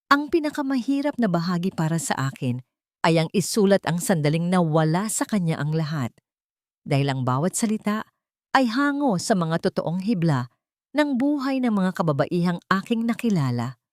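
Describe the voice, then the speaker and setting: A woman's voice: on the low side, a little mellow, no echo, clean studio sound. A woman storyteller narrating a scripted romance drama earnestly and politely, addressing her listeners.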